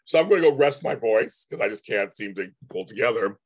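A man hosting a casual live stream, his voice going hoarse, rambling with many restarts and repeated words. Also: lowish voice, animated, brisk. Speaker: livestream host.

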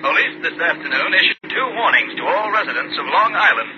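An actor playing a grave radio newsreader, delivering a bulletin in formal, urgent 1950s announcing style. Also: male voice, high-pitched, expressive, quick pace. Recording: noisy muffled 1950s radio recording.

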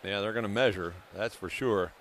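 A man giving live radio play-by-play of a football game, excitable and quick to raise his voice for big plays. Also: fairly low pitch. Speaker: play-by-play announcer. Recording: noisy live stadium broadcast ambience.

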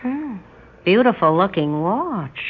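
A woman's voice: mid-range voice, very animated, takes it slowly, breathy edge, heavily acted. Wry, weary woman, muffled radio. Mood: wry, friendly. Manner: wry, self-deprecating, evasive. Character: weary, lonely, wry woman working as a dancer. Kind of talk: 1960s radio drama acting. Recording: dull, noisy 1960s radio recording.

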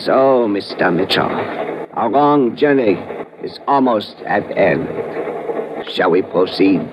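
Slow, formal radio villain. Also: male voice, lowish voice, lively intonation, dull audio. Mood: coolly polite. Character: courteous, menacing Japanese male villain, coolly formal. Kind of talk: 1950s radio thriller acting. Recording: noisy old radio broadcast.

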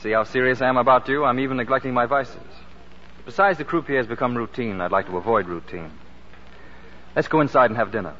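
An actor playing a suave, flirtatious, persistent racing driver and gambler in 1940s radio drama style. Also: male voice, medium pitch, quick pace, pushed and bright, natural voice. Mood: smooth, flirtatious. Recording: muffled, noisy 1940s radio broadcast.

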